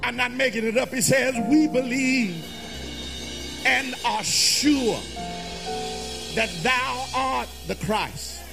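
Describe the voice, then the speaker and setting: A man preaching a fervent, musical sermon in a raspy, gravelly voice, in short, emphatic, repeated phrases that slide toward singing and shouting. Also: extremely high pitch, over music. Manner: emphatic repetition in short, drawn-out phrases with calls to the congregation.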